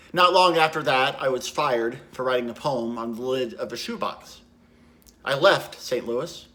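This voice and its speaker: A man's voice: mid-range voice, fairly bright tone, close to an everyday voice. A man playing a haunted, guilt-ridden young poet in a lyrical, reflective theatrical monologue.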